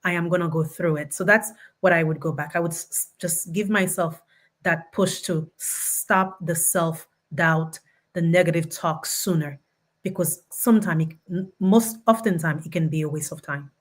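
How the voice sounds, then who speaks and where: A woman's voice: on the low side, moderate pace. A woman with a non-native English accent, reflecting personally as a panelist. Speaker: panelist, attorney.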